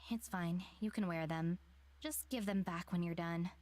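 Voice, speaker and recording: A woman voicing a stoic, blunt but caring young woman in a deadpan way for a visual novel. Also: fairly low pitch, normal speed, dry recording, lightly acted. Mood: deadpan, easy. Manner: deadpan, matter-of-fact.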